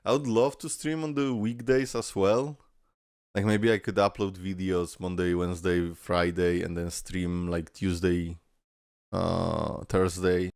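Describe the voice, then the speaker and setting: Low-voiced man reacting, loose and profane. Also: dry recording, clean studio sound. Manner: animated, exclamatory, blunt and profane. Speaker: reaction video host and streamer. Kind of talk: casual live reaction commentary with swearing. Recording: game trailer music behind the voice. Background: music from the video being watched.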